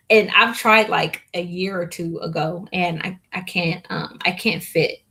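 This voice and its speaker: A woman's voice: on the low side, fairly bright tone. A young woman chatting casually to viewers on a live stream, rambling with lots of fillers and restarts. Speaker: hair vlogger hosting a live Q&A.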